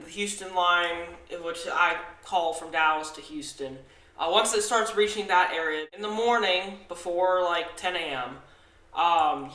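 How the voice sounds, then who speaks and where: A tired young man with a Southern US accent hosting a casual weather forecast, halting through lots of fillers and restarts. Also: high voice, sharp tone. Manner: halting, many fillers and restarts, self-corrects. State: tired after little sleep. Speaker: weather forecaster, channel host. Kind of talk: casual forecast walkthrough over weather maps. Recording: echoey room.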